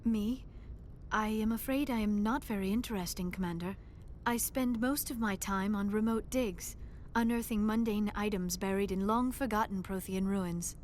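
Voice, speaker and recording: Woman playing a bookish young alien scientist lady, awkward n earnest, very proper speech, faint rumble behind her. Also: normal speed, darkish tone, close to an everyday voice. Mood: modest. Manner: formal, precise diction with few contractions. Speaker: archaeologist crew member. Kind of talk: video game voice acting.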